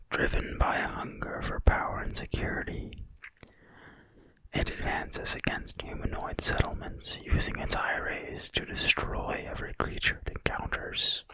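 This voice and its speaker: An ASMR creator reading in a quiet, breathy, soothing whisper with no voicing at all. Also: dry recording, rough recording. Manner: quiet, slow, soothing delivery. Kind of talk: whispered ASMR reading of a fantasy game manual.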